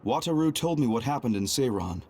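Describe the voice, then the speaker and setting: A man playing a composed, calculating young double agent in a video game, speaking in a measured, formal, grave way. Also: mid-range voice, expressive, mellow, close to an everyday voice. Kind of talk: anime-style video game cutscene acting.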